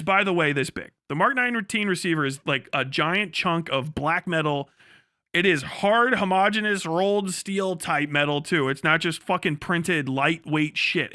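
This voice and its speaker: High-pitched man, bright, casual and profane. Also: no room sound, clean studio sound. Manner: crude, profane, animated explaining. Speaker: livestream host. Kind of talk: casual livestream storytelling and explaining.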